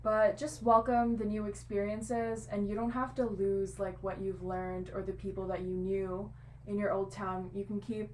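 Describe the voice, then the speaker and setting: A woman's voice: medium pitch, little pitch movement, moderate pace, a little mellow, a little hiss or hum, rough recording. A young woman from Singapore, a guest in a casual interview, answering thoughtfully and hedging often.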